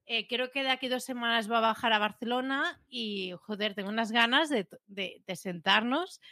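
A young woman from Spain, co-hosting a podcast, telling a story in lively casual chat with many restarts. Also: on the high side, moderate pace, no echo, studio quality. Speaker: podcast co-host.

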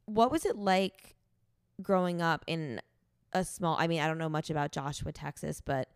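A woman's voice: deep voice, normal speed, clean tone, no echo, studio quality. A woman, a podcast host in her late twenties, chatting informally in an interview and saying "like" often. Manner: chatty, informal, liberal use of "like". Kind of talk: casual in-person interview.

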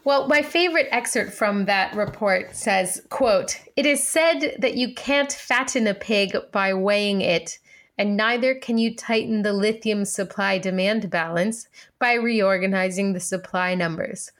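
A woman with a medium-pitched voice speaks as a podcast co-host. Her delivery is conversational, as in a discussion where she explains context and asks questions. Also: measured pace, fairly bright tone.

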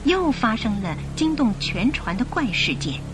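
A woman narrating a radio drama in an expressive, suspenseful storytelling style. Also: a little high, normal speed. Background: music.

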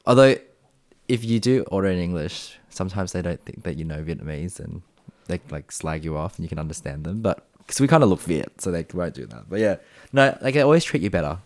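A man's voice: low-pitched, expressive, brisk, a little mellow, dry recording. A young Australian man chatting casually as a podcast guest, rambling with lots of fillers and restarts. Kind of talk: casual chat.

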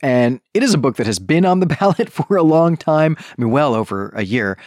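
A man's voice: a little high, animated, a little fast, a little mellow, no room sound, clean studio sound. A man hosting a solo book club podcast, talking conversationally with self-correcting asides. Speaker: podcast host.

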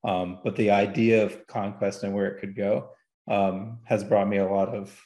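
A man talking casually as a podcast guest about statistics, often halting and correcting himself as he goes. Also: fairly low pitch, little pitch movement, warm tone. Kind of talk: casual discussion of game statistics. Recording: roomy, echoey sound.

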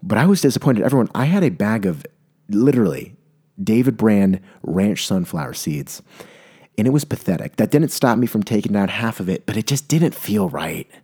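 A man's voice: fairly low pitch, sing-song, normal speed, darkish tone, husky. A young man telling a rambling, mock-serious comedic story, often stopping to correct himself. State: maybe congested. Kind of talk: comedic monologue.

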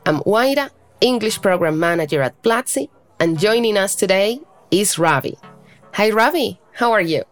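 A woman's voice: mid-range voice, expressive, normal speed, soft background music. A woman hosting a podcast interview in a conversational style. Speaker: podcast host.

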